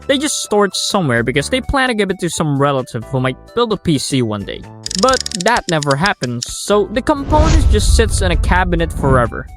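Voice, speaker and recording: A Filipino-accented man talks through a scripted video essay, and his voice sits high and swings widely in pitch as he makes his points. His delivery is blunt and sarcastic, and now and then he throws in a swear. Faint music plays quietly under his voice. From Philippines. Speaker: YouTuber.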